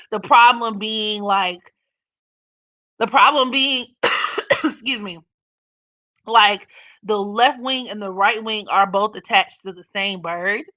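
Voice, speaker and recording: A young woman with a naturally raspy voice talks loosely to her live stream viewers, blunt and profane, often laughing. Her tone is bright and forceful. The audio is dry with no room sound and slightly dull, like a lo-fi home stream. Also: mid-range voice, slow pace, pauses often, no echo. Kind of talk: casual live stream chat reading comments.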